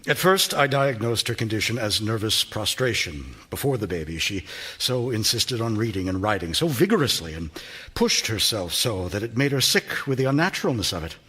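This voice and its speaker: An actor playing a stern, exasperated Victorian physician husband in a radio drama, formal and earnest. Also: male voice, lowish voice, big pitch swings, moderate pace, sharp tone. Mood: harried, worried. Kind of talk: theatrical radio drama acting.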